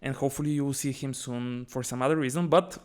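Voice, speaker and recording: A man's voice: medium pitch, fairly even intonation, quick pace, a little mellow, smooth. Guy cohosting a podcast, chatty, laughs easy, keeps things moving. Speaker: podcast co-host. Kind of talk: casual podcast conversation.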